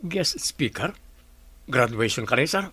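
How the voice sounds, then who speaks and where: A man's voice: medium pitch, expressive, a little slow, pushed and bright, slightly rough, exaggerated voice. A man playing an inquisitive, teasing coworker in an audio drama, bantering and questioning. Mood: curious. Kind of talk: Filipino audio drama acting.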